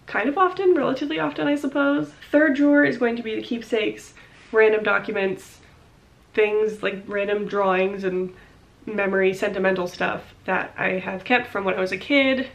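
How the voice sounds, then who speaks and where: A woman's voice: mid-range voice, fairly even intonation, normal speed, vocal fry, dull audio. Congested young woman rambling in vlog. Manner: rambling, many fillers like "um" and "like". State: congested, short of breath. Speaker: vlogger. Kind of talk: casual vlog chat. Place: small home office, indoors.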